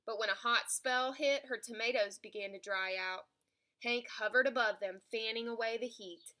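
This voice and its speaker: Bright, higher-pitched woman reading a storybook. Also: normal speed. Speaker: storybook reader. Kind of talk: expressive children's picture book read-aloud.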